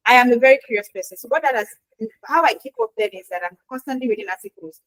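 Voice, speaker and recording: A woman, a Yoruba speaker, answering interview questions in a lively, chatty way with acted-out quotes. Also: a little high, fast talker, no room sound, poor audio. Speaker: guest expert in quality assurance.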